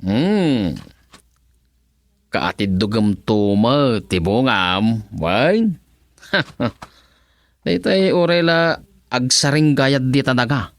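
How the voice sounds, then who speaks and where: A man's voice: medium pitch, lively intonation, extremely slow, long pauses, dry recording, clean studio sound. A man playing a simple, jolly bachelor gardener in an Ilocano comedy radio drama, with chuckling, humming, singsong comic talk. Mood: doting, playful. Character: simple, jolly bachelor gardener fussing over his plants.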